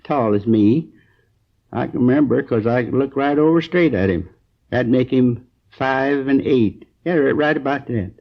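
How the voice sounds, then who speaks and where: A gravelly old American man in his sixties played by a radio actor, a hurt and drowsy storekeeper. He rambles in a halting, folksy, earnest way, on a dull 1950s crime drama recording. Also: mid-range voice, lightly acted. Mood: straining to recall. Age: 60s. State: groggy, in pain.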